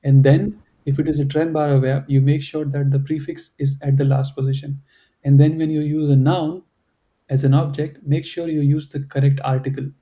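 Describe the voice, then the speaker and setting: A man's voice: fairly high pitch, flat delivery, normal speed, mellow. A man teaching a German class over a video call. He explains patiently and fills his talk with "you know" and "right", and English is not his first language. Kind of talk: online class instruction.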